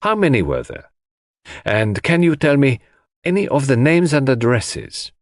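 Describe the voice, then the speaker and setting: A man voicing a calm, courteous detective who questions people precisely in an audiobook mystery. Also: medium pitch, a little slow, no echo, clean studio sound, pitched above the performer's own voice. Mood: businesslike.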